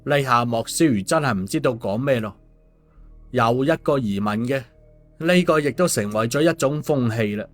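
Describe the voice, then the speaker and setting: A male audiobook storyteller, narrating a scripted tale at an ordinary middle pitch. Soft music plays behind his voice. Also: lively intonation, normal speed. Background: background music.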